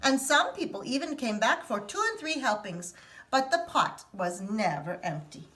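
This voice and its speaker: A woman teacher reading a picture book aloud to children in an expressive storytelling manner. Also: fairly high pitch, moderate pace. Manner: expressive, sing-song storytelling.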